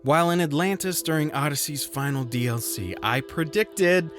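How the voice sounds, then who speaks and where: A man's voice: on the high side, moderate pace, over music. A man reading a scripted video game review voice-over in a wry, conversational way, with self-deprecating asides.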